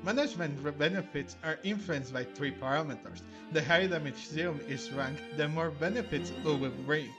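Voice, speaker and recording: A man hosting a gaming video, commenting casually and reading game text aloud a bit haltingly. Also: fairly high pitch. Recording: game music clearly behind the voice. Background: video game music.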